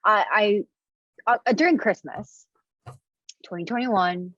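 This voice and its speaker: A woman's voice: medium pitch, slow pace, fairly bright tone, dry recording, lo-fi sound. A woman in her thirties from Indonesia, talking in a reflective, casual way with many fillers and restarts. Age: 30s. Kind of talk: casual conversation.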